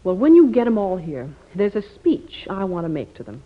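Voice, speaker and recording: A woman's voice: medium pitch, sing-song, normal speed. An actress playing a tender, prim frontier woman in 1950s radio drama. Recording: dull vintage 1950s radio recording.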